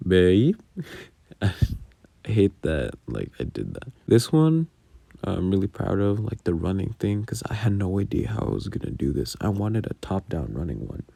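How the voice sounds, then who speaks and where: A man's voice: low-pitched, huge pitch swings, measured pace, creaky, warm tone, slightly rough, dry recording. A man giving casual, rambling behind-the-scenes commentary in a self-deprecating, casually sweary way. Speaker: audio roleplay creator.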